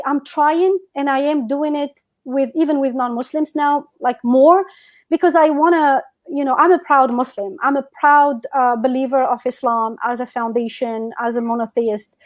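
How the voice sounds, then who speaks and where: North african woman, berber native, talking easy on a zoom call, voice a bit high. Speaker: guest. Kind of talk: casual interview over a video call.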